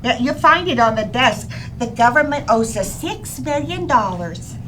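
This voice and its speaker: Scatterbrained sitcom wife played by a woman: high, bright, swooping voice, earnest and bubbly, old-time radio style. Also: animated, moderate pace, a little hiss or hum, low-quality recording. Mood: chatty, innocent. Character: cheerful scatterbrained wife with daffy sincere logic. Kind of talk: old-time radio comedy acting on stage.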